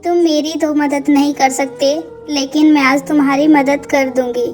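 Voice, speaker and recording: A woman's voice: high-pitched, steady tone, normal speed, darkish tone, lightly acted. A woman voicing a poor, kind-hearted young pregnant woman with an earnest, plaintive manner in a cartoon. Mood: kindly. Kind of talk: cartoon dialogue. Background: background music.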